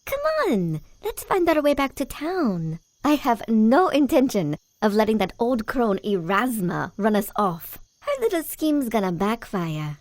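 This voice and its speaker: Proud underdog singer heroine played by a woman, animated film, expressive higher-pitched delivery, dramatic. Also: unhurried, few pauses, dry recording. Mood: determined. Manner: dramatic, sprinkled with Spanish. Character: feisty, proud, self-doubting woman singer, defiant underdog. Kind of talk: animated cartoon voice acting.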